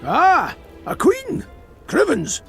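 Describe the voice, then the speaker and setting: A gravelly Scottish dwarf in a fantasy game, old and hearty. His pitch is high and swings widely, with music behind him. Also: wildly swooping pitch, extremely slow, full character voice. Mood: hearty surprise. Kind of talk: fantasy game character acting.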